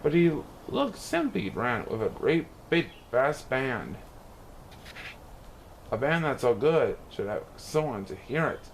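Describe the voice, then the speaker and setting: A man reading a rhyming children's storybook aloud in a theatrical, expressive way. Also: fairly high pitch, creaky, a little hiss or hum. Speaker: storybook reader.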